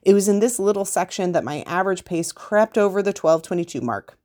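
A woman's voice: mid-range voice, animated, a little fast, mellow. A woman reading a scripted first-person account aloud as a podcast host.

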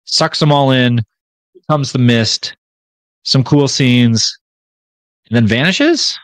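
A man's voice: medium pitch, expressive, slow pace, dry recording. A man co-hosting a casual podcast, summarizing chapters in a loose, informal way with frequent restarts. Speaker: podcast co-host.

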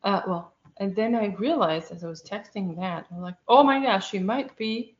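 A woman co-hosting a casual chat, talking in a rambling, lively way about her week as a church school teacher. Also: mid-range voice, moderate pace. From outside the United States. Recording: dull lo-fi sound.

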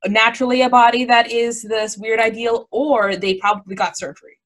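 A young woman on a discussion panel who chats casually, joking and reacting quickly with lots of fillers. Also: on the high side, steady tone, fairly bright tone. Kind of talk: casual group chat.

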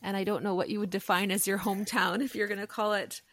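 A woman's voice: medium pitch, flat delivery, fast, fairly bright tone. Enthusiastic woman interview host. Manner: enthusiastic and affirming. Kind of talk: casual interview talk.

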